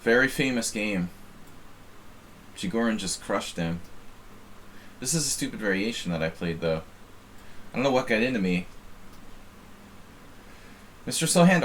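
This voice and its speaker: A man's voice: mid-range voice, expressive, measured pace, pauses often, fairly bright tone, a little hiss or hum. An American man casually chatting while streaming online chess, rambling and self-deprecating as he reads the chat. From United States.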